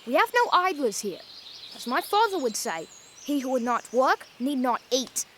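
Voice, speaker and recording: A bossy village child with a high, lively voice. The lines are mocking and incredulous, in period audio drama style, over faint background noise. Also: female voice, normal speed. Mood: scolding, incredulous. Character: teasing, bossy village child named Jacques, hard-working. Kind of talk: historical audio drama acting.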